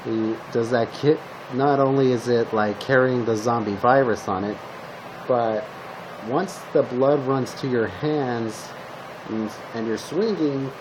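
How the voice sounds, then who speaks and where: A man plays a male zombie apocalypse survivor passing on tips in an improvised roleplay. His voice sits at an ordinary pitch and he talks in a conversational, matter-of-fact way, often halting and repeating himself. A clear steady noise runs behind the homemade recording. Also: lightly acted. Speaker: roleplay video host. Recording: steady background hum, noisy home recording.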